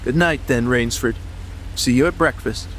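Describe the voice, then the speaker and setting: An actor playing an uneasy, superstitious yachting companion in an audiobook drama, earnest, hesitant and brooding. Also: male voice, medium pitch, moderate pace, background noise, lightly acted. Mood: pensive.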